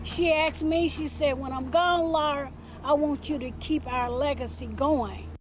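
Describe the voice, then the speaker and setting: A woman's voice: sits high, steady tone, unhurried, slight background noise. A woman interviewed as a family member, recounting memories in a storytelling way. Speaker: relative interviewed for news.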